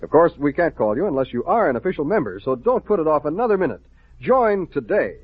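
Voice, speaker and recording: An American man announcing on a 1950s children's radio show, giving eager, deliberate instructions to young listeners. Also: a little high, very animated, no echo. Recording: tinny, narrow-band vintage 1950s radio recording.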